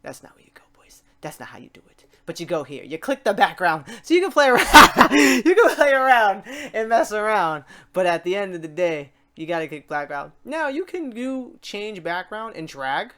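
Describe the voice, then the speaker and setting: An informal tutorial host with a middling pitch walks viewers through software step by step. The delivery is animated and slangy, often slipping into acted-out questions and exclamations from a learner. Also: moderate pace, few pauses.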